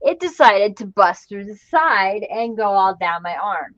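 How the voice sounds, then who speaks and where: Woman, craft stream host, playful teasing, slow lively speech, lo-fi sound. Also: mid-range voice. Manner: playful, teasing, laughs a lot. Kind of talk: casual chat while crafting. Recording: dull lo-fi stream audio with faint hiss.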